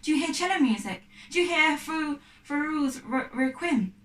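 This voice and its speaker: A woman's voice: high voice, lively intonation, normal speed, a bit bright, pitched above the performer's own voice. A woman reading the part of an earnest, determined, anxious young girl in a storybook. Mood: eager, earnest. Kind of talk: storybook character dialogue. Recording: echoey room, distant mic.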